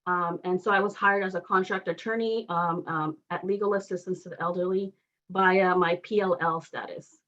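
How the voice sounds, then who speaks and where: Halting low-voiced woman, native Spanish speaker. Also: steady tone, fairly bright tone. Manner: hesitant, frequent "um" and "you know" fillers. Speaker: law graduate sharing her experience. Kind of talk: personal testimony at a public meeting. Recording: dull lo-fi audio.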